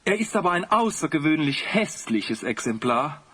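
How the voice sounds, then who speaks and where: Fawning court officer, higher-pitched, formal, animated, husky, radio play. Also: male voice, moderate pace, full character voice. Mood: deferential. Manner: formal, obsequious. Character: servile, courtly male officer of the ruler. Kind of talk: German audio drama acting.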